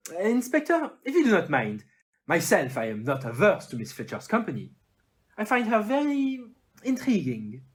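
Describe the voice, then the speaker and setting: Audio play detective: courteous, self-assured, French accent, formal and theatrical, hugely animated pitch. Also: moderate pace, slight background noise. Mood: confident, suave. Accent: put-on French.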